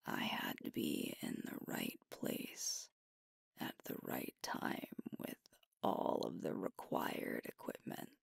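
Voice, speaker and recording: A woman playing a sweet, devoted werewolf girlfriend in an ASMR roleplay, speaking in a hushed, breathy, tender voice close to the microphone. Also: extremely low pitch, very animated, no echo, heavily acted. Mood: tender, wistful. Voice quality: breathy, whispery. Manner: slow, tender, hushed delivery with pauses. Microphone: close microphone, dry.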